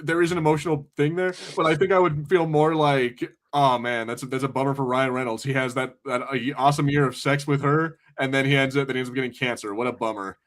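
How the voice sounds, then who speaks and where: A man's voice: medium pitch, steady tone, fast talker, hardly any pauses. A man co-hosting a podcast, chatting casually about films in a blunt, rambling, sweary way. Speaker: podcast co-host. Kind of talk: casual film banter.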